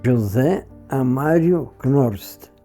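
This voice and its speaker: A man's voice: medium pitch, lively intonation, very slow, mellow, faint music behind. A man in his nineties in a documentary interview, recounting a rainy journey in loose, chatty bursts. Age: 90s.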